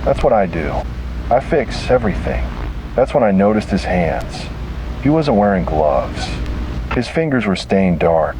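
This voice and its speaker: Deep-voiced man narrating horror over noise. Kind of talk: scripted first-person horror narration. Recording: noisy found-tape style recording.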